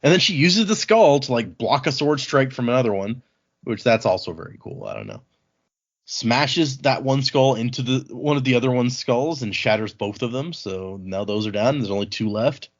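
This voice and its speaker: A man's voice: mid-range voice, big pitch swings, moderate pace, lo-fi sound. A man co-hosting a book podcast, retelling a story in casual, colloquial chat and acting out bits of dialogue. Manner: informal, colloquial, voices characters' lines in paraphrase. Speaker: podcast co-host leading a book read-along.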